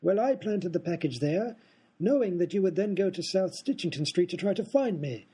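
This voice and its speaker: A man's voice: high-pitched, expressive, moderate pace, mellow, slightly rough. An actor playing a smug, scheming aristocrat in a comedic radio play, explaining his prank at length.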